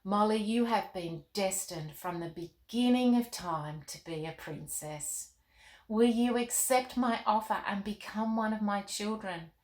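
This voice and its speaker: Lady reading as a kind fatherly king, soft and solemn, fairy tale book, roomy sound. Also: mid-range voice, unhurried, lightly acted. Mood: solemn, gentle. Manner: soft-spoken, gentle, solemn. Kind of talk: storybook read-aloud. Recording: roomy, echoey room.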